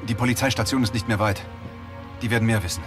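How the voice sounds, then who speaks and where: An actor playing a practical, protective young rookie cop in an audio drama. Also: male voice, on the low side, steady tone, fast, over music, close to an everyday voice. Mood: reassuring.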